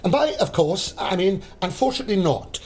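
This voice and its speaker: A man's voice: sits high, very animated, fairly quick, husky, heavily acted. A man with a Welsh accent playing a jokey, rambling police officer in a radio comedy. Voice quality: chirpy, teasing, pleased with his own puns. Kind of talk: radio sitcom acting.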